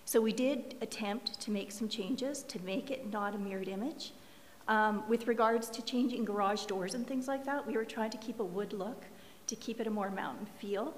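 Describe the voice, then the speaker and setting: Brief: hoarse woman applicant, earnest and explanatory, in a reverberant council chamber. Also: medium pitch, moderate pace. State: losing her voice. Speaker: applicant's designer presenting to a planning commission. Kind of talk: formal public meeting presentation. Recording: reverberant meeting room, faint hiss.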